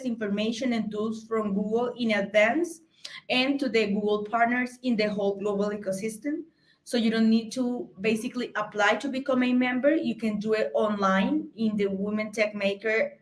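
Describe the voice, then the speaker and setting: Colombian woman giving a halting talk. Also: a little high, poor audio. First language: Spanish. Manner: halting, many fillers and restarts. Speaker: conference speaker. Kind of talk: informal tech talk.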